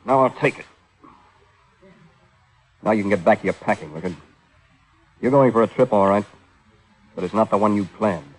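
An American actor playing a cool, sardonic, teasing private detective in a vintage 1940s radio drama. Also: male voice, lowish voice, moderate pace, long pauses, lightly acted, poor audio. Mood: confident, probing. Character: wisecracking, cool-headed male private detective, flirtatious with women.

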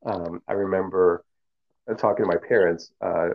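A middle-aged professor whose first language is Cantonese speaks in a low, gravelly voice. He answers slowly and thoughtfully, with many fillers and restarts. The sound is narrow and tinny, like a phone line. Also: fairly even intonation. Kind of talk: interview answers.

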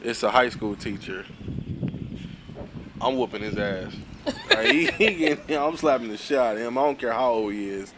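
A man's voice: mid-range voice, moderate pace, long pauses. A young man tells a story on a casual podcast, laid-back and full of profanity, chuckling along. His voice sits mid-range, with a faint hiss of noise behind it. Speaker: podcast co-host.

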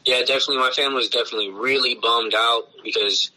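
A man, a punk band's bassist, talking loosely in a casual interview, full of swearing and filler words. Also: steady tone, fast, sharp tone, slight background noise, poor audio. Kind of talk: casual podcast conversation.

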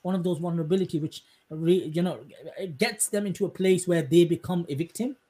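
A man with a fairly high voice speaks as a podcast host. He is effusive and emphatic, taking his time with wordy, conversational questions and remarks. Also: a little fast, mellow. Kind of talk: conversational interviewing.

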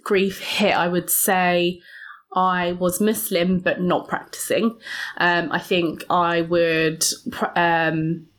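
A woman, a podcast guest, speaks reflectively and hesitantly, with many pauses and fillers. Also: fairly low pitch, level tone, a bit bright. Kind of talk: reflective personal interview.

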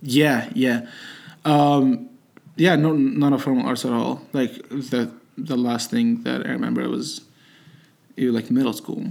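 A young man from Egypt whose first language is Arabic, answering interview questions in a loose, conversational way. He hesitates often, restarts his sentences and fills pauses with "like" and "um." His voice sits at an ordinary, middle pitch for a man. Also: level tone, creaky. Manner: hesitant, many fillers and restarts, laughs softly. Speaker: interviewee, student.

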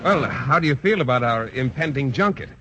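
An actor playing a shy, stiffly formal school coach in an old radio comedy. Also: male voice, medium pitch, expressive, fairly quick, lo-fi sound, natural voice. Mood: earnest. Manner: stiff and bashful, formal wording. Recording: very noisy old radio broadcast.

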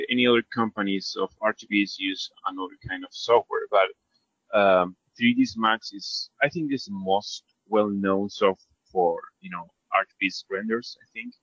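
A man's voice: lowish voice, fairly bright tone, no echo, lo-fi sound. A man from Argentina with an Argentine accent, a native Spanish speaker, talking casually as an interview guest, hesitant, self-effacing and often searching for words. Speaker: interview guest, 3D character artist. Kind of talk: casual conversational storytelling.

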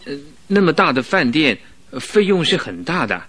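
A man's voice: on the high side, very animated, normal speed, fairly bright tone. An actor playing a timid, earnest new employee in a radio drama, speaking hesitantly, politely and obediently to his superior. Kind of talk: Mandarin radio drama acting.

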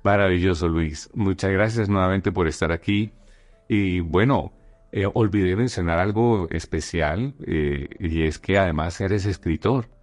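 A man hosting a podcast, giving measured, formal introductions and questions. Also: deep voice. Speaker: podcast host.

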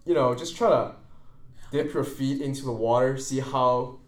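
A young man hosts a relaxed student podcast, talking informally and earnestly as if giving advice. The room around him is noticeably echoey. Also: mid-range voice, moderate pace. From China. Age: young adult. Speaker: podcast host, college student. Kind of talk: casual podcast chat. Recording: roomy echo.

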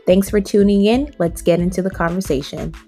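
A woman's voice: mid-range voice, fairly quick, over loud music. A woman hosting a podcast, welcoming listeners and introducing her guest in an upbeat way. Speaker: podcast host. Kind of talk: podcast interview.

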